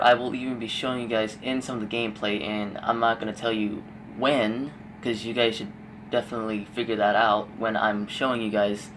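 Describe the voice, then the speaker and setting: A young man with a middling voice that has gone a little hoarse talks through gameplay tips in a loose, rambling way, full of fillers and the occasional curse. A faint hum sits behind him. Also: medium pitch, fairly bright tone. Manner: rambling, with frequent fillers and swearing. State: losing his voice, a bit hoarse. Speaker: gaming YouTuber giving tips. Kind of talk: casual gameplay commentary voice-over.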